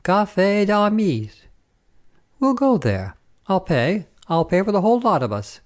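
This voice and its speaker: A man's voice: sits high, sing-song, a little slow, pitched above the performer's own voice. A man voicing an insistent French soldier in a short story, earnest and coaxing. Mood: eager. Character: eager, insistent blue-eyed young French soldier.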